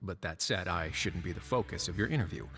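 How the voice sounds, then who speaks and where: A man voicing a suave, composed duke in a video game, speaking in a courteous, measured, formal way. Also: sits low, expressive, a bit bright, slight background noise. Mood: composed, firm. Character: suave, composed duke running an underwater prison fortress. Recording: game audio with long reverb, music underneath.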